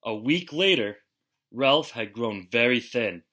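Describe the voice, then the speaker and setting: Male storybook reader for young children, unhurried and forceful, with expressive, dramatic delivery. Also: medium pitch. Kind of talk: expressive children's picture book read-aloud.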